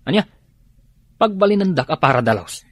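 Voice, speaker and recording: Cheeky, nosy male worker, naive and grumbling, husky, Ilocano accent, lively radio drama delivery. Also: a little high, fairly quick, no echo.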